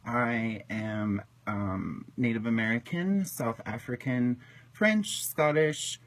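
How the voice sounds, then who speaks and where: A man in his thirties from Washington state tells his own story in a halting, emotional way, with many pauses and ums. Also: mid-range voice, no room sound. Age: 30s. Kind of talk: personal testimony to camera.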